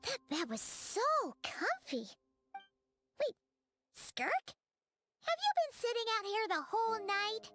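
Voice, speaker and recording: Squeaky cheery little fairy girl from a game, super high, unhurried, music under it. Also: huge pitch swings, heavily acted. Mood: chirpy. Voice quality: squeaky, childlike. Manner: refers to self in third person, exclaiming. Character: tiny cheerful floating fairy companion, childlike girl. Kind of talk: video game voice acting.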